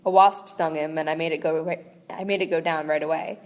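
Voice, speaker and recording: A woman's voice: low voice, fairly even intonation, a little fast, lightly acted. Earnest, curious young boy wizard read by a woman in an unpolished read-aloud, reverb trailing. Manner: plain reading voice, barely changed.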